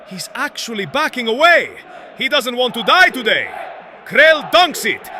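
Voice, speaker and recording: Man playing a shrill, frantic riot sports reporter. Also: exaggerated voice. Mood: frenzied excitement. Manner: excitable, breathless urgency. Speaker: field reporter. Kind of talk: shouted live sports commentary. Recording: crowd and noise behind.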